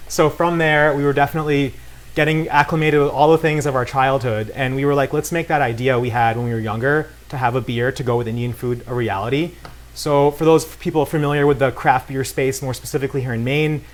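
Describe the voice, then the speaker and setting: A man talking casually and enthusiastically as a guest at a cooking demonstration, with a promotional way of talking. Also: medium pitch. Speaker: guest cook and beer brand co-founder. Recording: clear noise of frying and sizzling. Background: sizzling pan in a cooking demo.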